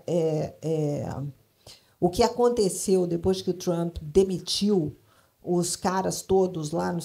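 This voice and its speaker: Woman with a gravelly, mellow voice. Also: lowish voice. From Brasília, Brazil. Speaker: panelist, advertising professional. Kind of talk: live panel discussion. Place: stage at a public event.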